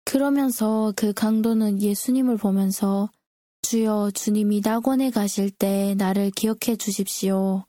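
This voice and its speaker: A woman's voice: medium pitch, steady tone, unhurried, mellow, no room sound, studio quality. A woman speaking politely and reflectively as a co-host in a prepared-sounding Bible discussion. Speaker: co-host discussing scripture with a pastor.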